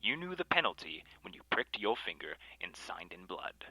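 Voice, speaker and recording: A man playing a solemn, threatening coven enforcer in a horror audio drama, speaking formally and deliberately. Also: low voice, very animated, bright, forceful tone, no room sound, exaggerated voice, rough recording. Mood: cold menace. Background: background music.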